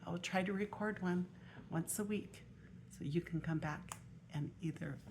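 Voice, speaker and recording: An older woman, a grandmother, reading a children's picture book aloud in an expressive way. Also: fairly low pitch, moderate pace, a little hiss or hum. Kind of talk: storybook read-aloud.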